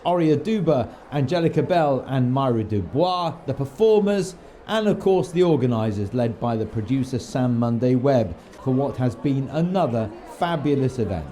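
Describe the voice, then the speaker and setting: A man's voice: mid-range voice, animated, moderate pace, dark tone, a little hiss or hum. A man presenting a podcast as its host, reading scripted announcements and links. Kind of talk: scripted presenting and backstage interviewing.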